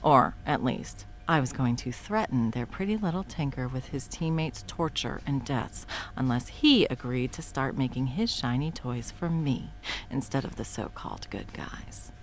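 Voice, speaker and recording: A woman voicing a scheming female supervillain who swears and often drops to a soft whisper, in a one-sided audio roleplay. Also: extremely low pitch, lively intonation, moderate pace. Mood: scornful, scheming.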